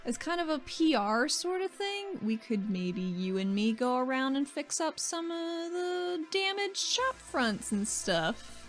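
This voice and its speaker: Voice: high voice, sing-song, unhurried, hardly any pauses, a bit bright, soft background music, heavily acted. A woman playing a friendly, persuasive female teammate in a tabletop game, coaxing in a casual way. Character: Sedaris, friendly coaxing woman who flatters her teammate.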